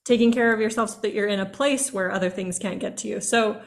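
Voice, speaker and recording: A woman's voice: mid-range voice, steady tone, fast, gravelly. A woman hosting a podcast interview, talkative and eager, recorded over a video call. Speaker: podcast host. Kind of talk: casual interview chat.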